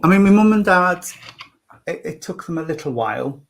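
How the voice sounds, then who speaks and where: A man, a trustee, talking slowly and hesitantly in a casual group chat, with many ums and restarts. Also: high-pitched, lively intonation, a bit bright. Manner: slow, hesitant, with many fillers. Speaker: trustee on a panel.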